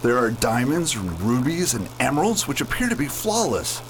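A man's voice: medium pitch, very animated, normal speed, a little hiss or hum, poor audio. A man acting a brooding, greedy villain in a space radio play, with a disdainful manner. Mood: calculating. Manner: disdainful, muttering to himself. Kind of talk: amateur radio theater acting.

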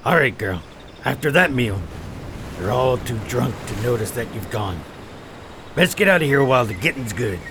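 A man playing a big, gruff but kindly pirate sailor in a radio play, blunt and protective in manner. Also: medium pitch, lively intonation, moderate pace, background noise, lightly acted.

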